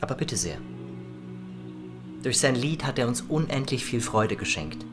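An actor playing a fawning, ceremonious doctor in a radio drama, speaking formally and obsequiously. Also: male voice, mid-range voice, sing-song, measured pace. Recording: music behind the voice.

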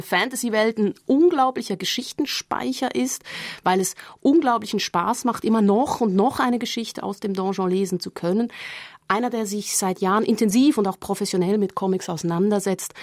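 A woman's voice: mid-range voice, gravelly, darkish tone, dry recording, studio quality. A woman giving prepared, explanatory radio feature talk, often listing things point by point. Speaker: radio magazine contributor.

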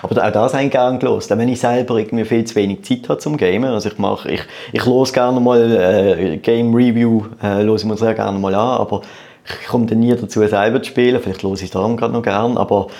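A man's voice: fairly low pitch, steady tone, a little fast, vocal fry, a little mellow. A man in his thirties with a Swiss German accent, chatting casually as an interview guest and often repeating words as he goes. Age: 30s. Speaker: podcast guest, start-up entrepreneur.

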